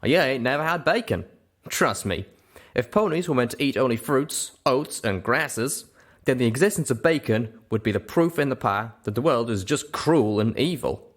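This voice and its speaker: Man doing a folksy, high-pitched cowboy pegasus. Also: lively intonation, normal speed, lightly acted. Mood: amused, teasing. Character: cheerful, cocky cowboy pegasus stallion.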